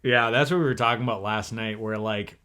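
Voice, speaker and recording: A man's voice: fairly low pitch, fairly even intonation, fairly quick, bright, forceful tone, clean tone. A young man co-hosting a casual chat, wry and skeptical, laughing often. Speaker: co-host.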